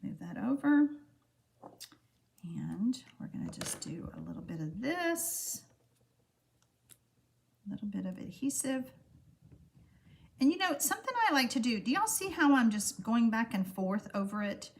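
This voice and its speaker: Woman host, middle-aged, slightly froggy voice, bright lively pitch, chatty craft demo. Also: a little slow, creaky, long pauses. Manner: chatty, talks to viewers while working. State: froggy voice from allergies. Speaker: card-making demonstrator hosting a livestream. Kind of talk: casual livestream craft demonstration.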